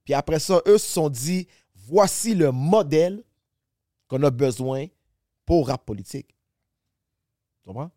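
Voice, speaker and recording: A man's voice: on the high side, animated, a little slow, pauses often, dark tone, no echo, clean studio sound. A man in his forties with a Québécois French accent, a guest rapper chatting casually on a podcast, rambling and often asking if you follow. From Quebec. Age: 40s. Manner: rambling, restarts often, asks "tu comprends?".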